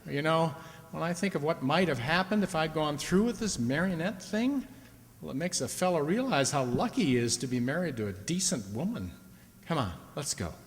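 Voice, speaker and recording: Man acting excitable husband, echoey hall. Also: a little high, moderate pace. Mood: relieved, fond. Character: affectionate husband, excitable, prone to panic and disbelief. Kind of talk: live radio play acting. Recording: echoey hall with long reverb.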